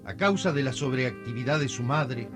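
A man narrating a radio drama in a measured, knowing, confiding way. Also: medium pitch, expressive, over music, lightly acted.

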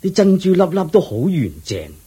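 An actor playing an elderly, generous lord in a radio drama, speaking in a slow, measured, approving way with a Hong Kong Cantonese accent. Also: male voice, high-pitched, sing-song, mellow, slightly rough, full character voice. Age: older. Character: elderly kindly male lord, generous father-in-law.

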